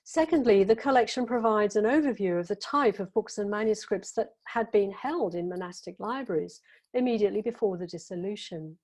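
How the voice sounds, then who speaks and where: A woman reads out a scholarly conference paper. Her pitch sits in the middle of the usual range. She takes her time, stopping now and then on a filler before going on. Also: a little mellow. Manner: measured, careful delivery with occasional fillers. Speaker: academic conference speaker. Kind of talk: reading a prepared academic paper.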